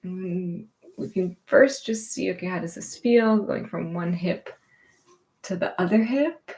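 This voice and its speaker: A woman teaching gentle movement and meditation in a reassuring, permissive, unhurried manner. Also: on the low side, animated, poor audio.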